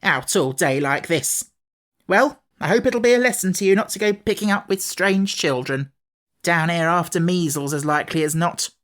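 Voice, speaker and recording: A woman voicing an indignant, scolding housekeeper in a children's storybook, sharp and cross in manner. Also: on the low side, expressive, moderate pace, natural voice.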